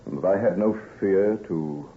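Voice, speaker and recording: A man playing a troubled, weary gentleman doctor in an old-time radio drama, guarded and subdued. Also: deep voice, moderate pace, phone-quality sound, close to an everyday voice.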